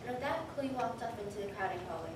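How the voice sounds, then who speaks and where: A woman's voice: fairly high pitch, animated, fast. A woman narrating a scripted story for a reader's theater performance. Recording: distant mic, very echoey room, noisy.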